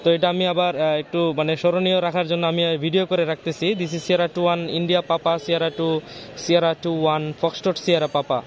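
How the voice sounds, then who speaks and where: High-voiced Bangladeshi man on ham radio. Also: level tone, moderate pace, a little hiss or hum. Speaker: amateur radio operator. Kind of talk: ham radio contact, mixing chat with call signs. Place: outdoors on a rooftop.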